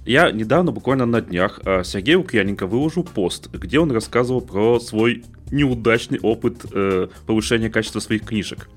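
Low-pitched man arguing a point casually. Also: moderate pace, hardly any pauses, faint music behind. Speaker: podcast co-host. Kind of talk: casual discussion, making an argument.

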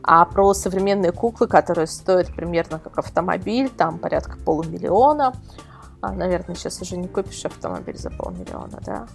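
A woman's voice: fairly low pitch, big pitch swings, fairly quick, creaky, soft background music. A woman speaking as a podcast guest, explaining things casually and wordily, with many qualifiers. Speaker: invited guest, editor of an adult toy website.

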